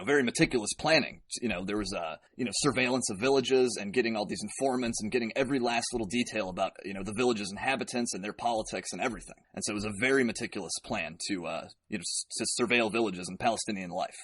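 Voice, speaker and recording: A man's voice: mid-range voice, fairly bright tone, breathy edge. A man speaking as a podcast guest, explaining history in an earnest, detailed way. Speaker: podcast guest, political commentator. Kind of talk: explanatory interview talk on history.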